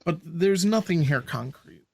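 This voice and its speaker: A man's voice: on the high side, expressive, unhurried, gravelly, slightly rough, no echo, studio quality. A man co-hosting a podcast, chatting casually in a blunt, opinionated way. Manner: blunt, opinionated, plain-spoken. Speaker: podcast co-host. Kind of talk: casual chat.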